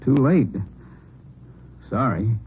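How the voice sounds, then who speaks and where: An actor playing a rueful, chatty used car dealer in a 1940s radio drama. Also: male voice, medium pitch, animated, very slow, slightly rough, exaggerated voice. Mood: rueful, self-reproaching. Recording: tinny, noisy 1940s radio recording.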